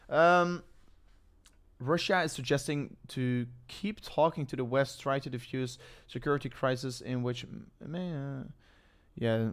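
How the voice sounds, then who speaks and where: A man's voice: medium pitch, clean tone. Rambling Dutch-accented man on a livestream. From Netherlands. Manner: rambling, self-interrupting, hesitant with fillers. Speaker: crypto livestream host. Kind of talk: casual live-stream chat.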